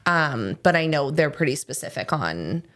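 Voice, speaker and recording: A woman speaks in a fairly low voice as a podcast guest. Her answers are easygoing and meander, padded with "like" between thoughts. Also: moderate pace. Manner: relaxed, lots of "like" fillers. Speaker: guest, esthetician. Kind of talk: casual interview answers. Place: podcast studio.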